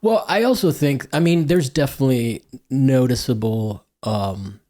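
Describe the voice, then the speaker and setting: A man's voice: medium pitch, moderate pace, warm tone. A man hosting a podcast in casual conversation, laid-back and agreeable, with frequent short backchannels. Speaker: podcast host.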